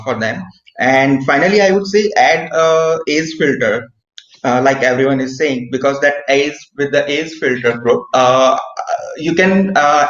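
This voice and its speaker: A man's voice: mid-range voice, fairly bright tone. A man giving feedback in an online meeting, hesitant, with many fillers and frequent "right?". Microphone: video call. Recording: roomy, dull video call audio.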